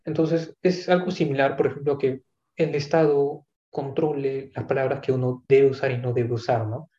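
A man's voice: mid-range voice, fairly even intonation, normal speed, mellow. A man talking in a casual conversation, thoughtful and hesitant, with many asides and restarts. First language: not English. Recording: echoey room, dull lo-fi sound.

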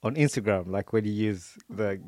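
A man from Uganda hosting a podcast in a relaxed, casual way, leaning on "like" and restarting his sentences. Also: lowish voice, normal speed, dry recording, studio quality. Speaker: podcast host. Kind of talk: casual interview chat. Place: in America.

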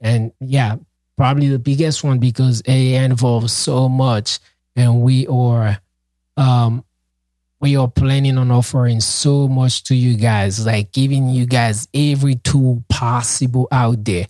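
A man's voice: mid-range voice, steady tone, measured pace, mellow, no echo. A man whose first language is Haitian Creole, co-hosting a casual podcast, talking earnestly and passionately with lots of fillers and restarts. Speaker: podcast co-host and project founder.

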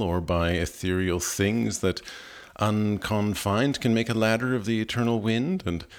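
A man reads Romantic verse aloud in an expressive literary recitation, with deliberate, dramatic phrasing. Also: sits low. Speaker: poetry reader.

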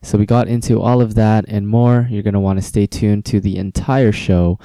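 Man, podcast host, casual and loose, very warm dark tone, dry close mic. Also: fairly low pitch, steady tone, moderate pace, dry recording. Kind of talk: podcast interview.

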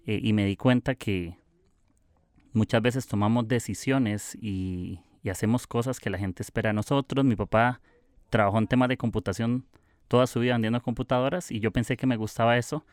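A man's voice: medium pitch, steady tone, normal speed, darkish tone, smooth, no room sound, clean studio sound. A young man with a Costa Rican accent hosting his own podcast, talking in a casual, reflective and confessional way. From Costa Rica. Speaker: podcast host.

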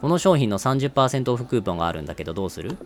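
A man's voice: mid-range voice, very animated, racing, soft background music. A man playing a friendly, pushy male marketer in an amateur radio drama, asking questions like a salesman. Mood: friendly, persuasive. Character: Mark, cheerful pushy male marketer chatting up a colleague.

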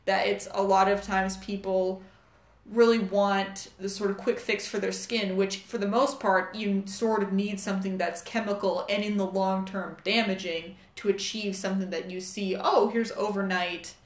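A woman's voice: mid-range voice, steady tone, normal speed. A young adult woman co-hosting a podcast, talking in a thoughtful, hedging way with many fillers and self-corrections. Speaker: co-host, company staff. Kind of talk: casual podcast chat. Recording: echoey room.

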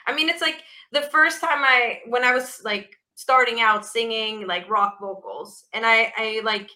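A woman rock vocalist with a naturally raspy voice, chatting casually in an interview, with lots of fillers and restarts. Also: on the high side, measured pace, pushed and bright. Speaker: rock band vocalist, guest.

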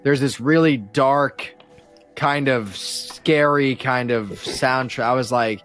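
A man in his thirties with a medium-pitched voice. He chats casually and earnestly on a podcast, full of fan opinions, with music in the background. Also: slow, a bit bright. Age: 30s.

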